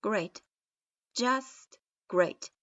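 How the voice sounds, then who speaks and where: A woman's voice: medium pitch, drawn-out words, a bit bright, dry recording. A woman reading a weary, long-suffering male ninja teacher. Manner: weary, exasperated. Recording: dull lo-fi recording.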